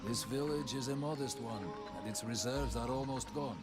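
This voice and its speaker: An actor voicing a proud warrior knight who always speaks of himself in the third person, in a formal manner, with game music behind. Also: male voice, mid-range voice, normal speed, lightly acted. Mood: solemn. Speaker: game character.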